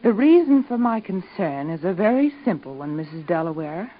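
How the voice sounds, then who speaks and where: An actress in an old radio soap playing an intense, scheming young fiancée who speaks with cool, insinuating determination. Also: female voice, on the low side, sing-song, moderate pace, a little hiss or hum. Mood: intense, determined. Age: young adult. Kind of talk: 1940s radio soap acting. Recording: dull old radio drama recording.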